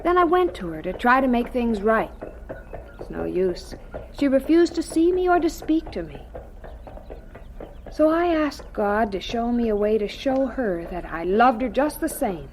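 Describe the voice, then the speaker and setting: Woman as a gentle farm mother, expressive, high-pitched. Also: measured pace, a little hiss or hum, rough recording. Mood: tender, wistful. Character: gentle, devout farm mother with quiet dignity. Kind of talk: radio drama acting.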